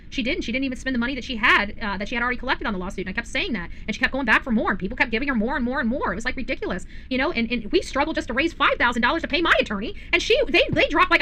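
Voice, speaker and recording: A woman delivers unscripted commentary at a very rapid clip in a fairly high, sharp-edged voice. She is insistent and accusatory, restating phrases to drive them home as she addresses her audience directly. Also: slight background noise. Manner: emphatic, insistent, repeating phrases for stress; indignant, accusatory. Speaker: self-described independent journalist and online commentator.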